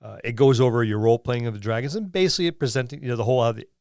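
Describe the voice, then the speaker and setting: A man's voice: mid-range voice, racing, a little mellow, no room sound, clean studio sound. A middle-aged man co-hosting a podcast, walking through a gaming book in a rambling, jokey, casual way. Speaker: podcast co-host.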